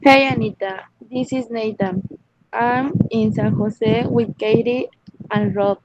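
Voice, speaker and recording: A woman student in an online English class, reading a passage aloud slowly and haltingly. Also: on the high side, steady tone, lo-fi sound, background noise.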